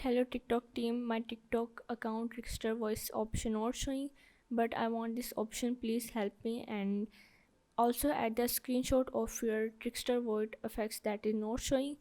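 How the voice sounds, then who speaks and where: Female tutorial presenter, higher register, soft-edged mellow tone, walking viewers through steps with repeated instructional phrasing. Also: little pitch movement, moderate pace. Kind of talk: step-by-step screen tutorial narration.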